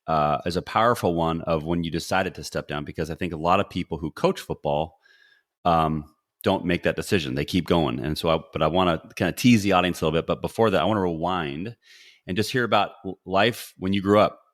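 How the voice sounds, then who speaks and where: A man's voice: low-pitched, brisk. A middle-aged man hosting a podcast, interviewing in a casual, rambling way with questions that come in several parts. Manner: rambling, multi-part questions. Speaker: podcast host.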